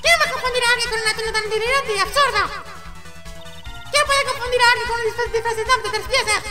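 A man's voice: very high voice, fast talker, pushed and bright, faint music behind, voice pushed up, heavily acted. A man voicing a haughty, impatient young woman prosecutor in a courtroom video game, with imperious, scornful delivery. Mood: impatient, insistent. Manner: imperious, scornful, clipped commands.

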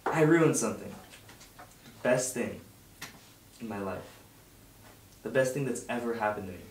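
A young man reading the part of a lovesick teenage boy, earnest and halting, in a web series script. The sound is distant and echoey, with noticeable noise in the room. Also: mid-range voice, animated, natural voice. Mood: regretful, pleading. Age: young adult. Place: home dining room around a table. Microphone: far camera. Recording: roomy, distant mic, clear background noise.

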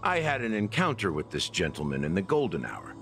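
A worldly, laid-back middle-aged character in an anime game. His voice is slightly low with sweeping inflection, affable and casual, over faint music. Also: wildly swooping pitch, brisk, a bit bright, exaggerated voice. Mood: easygoing. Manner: casual, easygoing. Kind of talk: anime video game voice acting.

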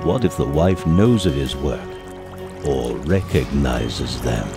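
A man's voice: extremely low pitch, animated, slow, over music, heavily acted. An actor playing a greedy, calculating 1830s English businessman in an audio drama, with formal, pompous diction. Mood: cold, menacing. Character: scheming, greedy 1830s English elixir-company boss, cold and calculating. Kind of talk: family audio drama acting.

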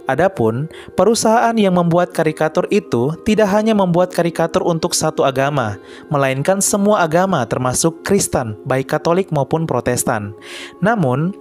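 A man with a slightly higher than usual voice delivers a scripted news voice-over in a measured, earnest way. Soft music plays quietly underneath him. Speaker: channel narrator. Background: faint background music.

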